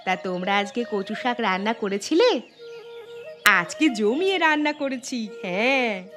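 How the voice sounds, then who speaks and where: A kind, chatty village housewife from a cartoon , acted by a woman. Her voice sits high and her delivery is expressive and homely. Music plays clearly behind her. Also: unhurried. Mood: chatty, friendly. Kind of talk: Bengali cartoon voice acting, dialogue.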